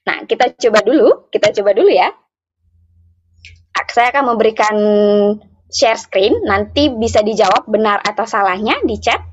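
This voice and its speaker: A woman interpreting live for an interactive online workshop, speaking phrase by phrase in a halting way with repeats. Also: on the high side, breathy edge. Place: online video meeting. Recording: dull, muffled online call audio.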